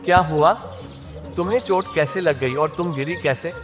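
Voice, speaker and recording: A man voicing a simple, hardworking village farmer and husband in an animated moral story, with expressive, plain-spoken delivery. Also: on the high side, normal speed, over music, natural voice. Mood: concerned.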